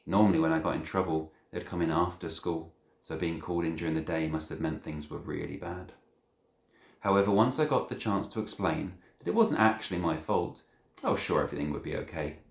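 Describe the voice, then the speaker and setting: Low voiced guy reading a kids book out loud, really expressive, echoey room. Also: brisk, gravelly. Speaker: reader of a children's novel. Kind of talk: expressive story narration.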